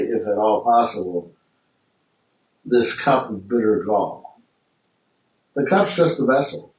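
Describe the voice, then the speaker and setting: A man leading a philosophy class discussion, thinking aloud in a reflective way with some restarts. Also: medium pitch. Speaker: discussion group leader. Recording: echoey room, mic far off.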